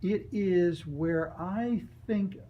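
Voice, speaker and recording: Expressive male memoir reader, background noise. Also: sits high, very slow, dull audio. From United States. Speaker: author. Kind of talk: expressive memoir reading and personal reflection.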